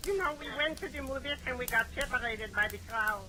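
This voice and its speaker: High-voiced, henpecked neighbour. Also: male voice, brisk. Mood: cheerful. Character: henpecked, cheerful male neighbour, wry about his wife. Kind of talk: 1940s radio comedy acting. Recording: noisy old 1940s radio recording.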